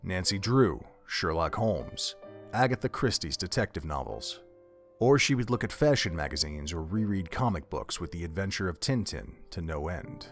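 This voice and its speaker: A man's voice: low-pitched, expressive, normal speed, faint music behind. A man reading scripted third-person narration as the storyteller of an audio drama.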